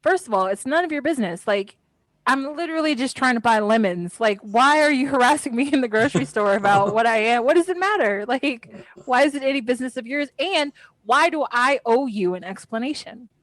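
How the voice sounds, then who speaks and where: A woman in her thirties co-hosting a podcast in casual chat, blunt and animated, swearing when something annoys her. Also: a little high, fairly quick, no room sound, low-quality recording. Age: 30s. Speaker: podcast co-host.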